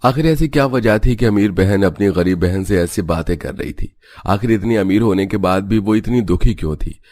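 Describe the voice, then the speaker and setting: A man's voice: on the low side, moderate pace, a little mellow, dry recording. A man narrator reading a scripted family story for a cartoon video.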